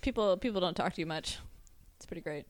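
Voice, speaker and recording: A woman's voice: on the low side, quick pace, no echo. A young woman from Ontario chatting on a podcast with a wry, self-deprecating sense of humor. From Ontario, Canada. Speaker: podcast team member. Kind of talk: casual team chat.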